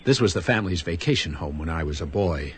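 Animated small-town doctor. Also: male voice, sits low, quick pace, slightly rough. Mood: playful, romantic. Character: doting male small-town doctor, playful and romantic newlywed. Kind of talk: dramatic acting in a scripted drama.

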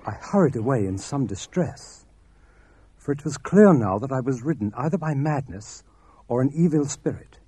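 A man's voice: medium pitch, expressive, moderate pace, dark tone, breathy edge, no echo. A man narrating and playing a pompous Victorian doctor in a comic radio play. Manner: pompous, theatrical. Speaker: narrator and lead actor.

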